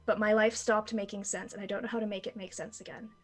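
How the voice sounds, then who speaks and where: A troubled woman soldier speaks haltingly and restarts often as she searches for words, as a woman plays her. Faint music sits under her voice. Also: mid-range voice, level tone, close to an everyday voice. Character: doubting woman soldier, earnest and unsure. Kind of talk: in-character tabletop roleplay.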